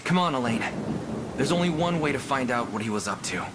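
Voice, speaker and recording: An actor playing a plain-spoken, informal companion fighter in a fantasy video game, with a teasing manner. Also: male voice, mid-range voice, expressive, brisk, heavy background noise, lightly acted. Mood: urging.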